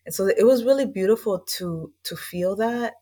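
A woman's voice: mid-range voice, normal speed, warm tone. A woman from East Los Angeles, a guest on an interview podcast, telling her story in an earnest, reflective and loosely chatty way. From East Los Angeles, USA. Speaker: guest, language school founder.

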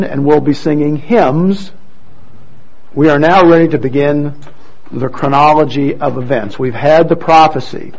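A man leading a church service, explaining things deliberately to a congregation over a microphone. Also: a little high, creaky, darkish tone, breathy edge, highs cut off. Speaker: church service leader introducing readers. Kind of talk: addressing a congregation, explanatory announcements.

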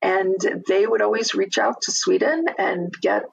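Gravelly, husky middle-aged voice from Chicago. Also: medium pitch, steady tone, dull audio. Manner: unhurried, thoughtful, many "um" pauses. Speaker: interview guest. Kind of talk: casual conversational interview.

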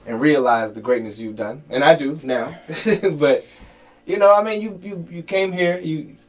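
A young man with a middle-pitched voice hosts a casual podcast chat with his father. He rambles loosely and fills the gaps with "like" and "I mean.". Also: a little mellow, far from the mic. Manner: loose, with many fillers and stammered restarts. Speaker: podcast host.

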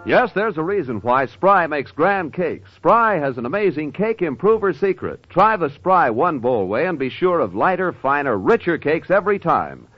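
A man's voice: a little high, very animated, normal speed, hardly any pauses, soft background music. A man reading a 1940s radio commercial in an emphatic, persuasive way. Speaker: commercial announcer. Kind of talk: 1940s radio advertising read. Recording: muffled 1940s radio recording.

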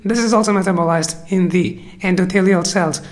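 A teacher with a cold gives an unscripted explanatory lecture, halting often, restarting phrases and leaning on "you know" fillers. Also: medium pitch. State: has a cold.

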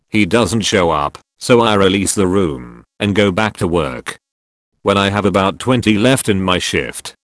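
Low-voiced man narrating stories. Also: dry recording, clean studio sound. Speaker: narrator reading online posts. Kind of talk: scripted narration.

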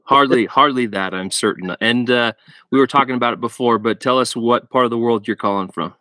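Guy hosting a chill podcast, mid pitch, quick upbeat reactions, dry mic. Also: pushed and bright, dry recording. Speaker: podcast host.

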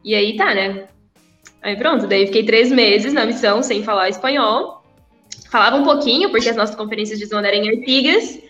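A woman's voice: a little high, brisk, fairly bright tone. Chatty, laughing young woman, echoey room. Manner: chatty, laughs often between phrases. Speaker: guest, former missionary. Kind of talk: casual interview chat. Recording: echoey room, dull sound, faint music.

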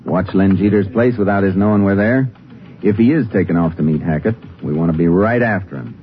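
Actor as a deep-voiced Texas Ranger lawman, old radio. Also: brisk, lightly acted. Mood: shrewd, calm. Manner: matter-of-fact, authoritative. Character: steady, shrewd male Texas Ranger lawman and narrator. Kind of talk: 1950s radio drama acting and case narration. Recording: muffled old-time radio recording with music.

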